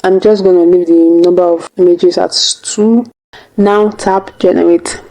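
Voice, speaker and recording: A woman's voice: lowish voice, flat delivery, unhurried, warm tone, low-quality recording. A woman hosting a tutorial, narrating step by step in a casual way. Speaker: tutorial host.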